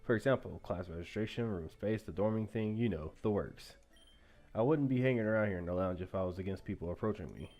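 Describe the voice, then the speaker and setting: A man voicing a responsible, organized young male student in a visual novel, speaking low, calm and measured. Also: close to an everyday voice.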